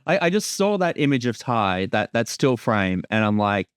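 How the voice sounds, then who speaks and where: A man's voice: medium pitch, big pitch swings, moderate pace, clean tone, no echo, clean studio sound. A man chatting casually as a creator commenting on his show, often doubling back on his opening words. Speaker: creator giving episode commentary.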